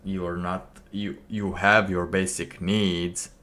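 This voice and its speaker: A man streaming alone, reacting to a clip with slow, musing casual commentary and laughing to himself. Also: low-pitched, little pitch movement, a bit bright.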